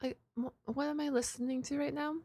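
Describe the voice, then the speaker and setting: A woman's voice: sits high, fairly even intonation, fairly quick, dark tone, slight background noise. A woman chatting casually as a reaction streamer, informal and slangy, often exclaiming. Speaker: reaction video host, streamer.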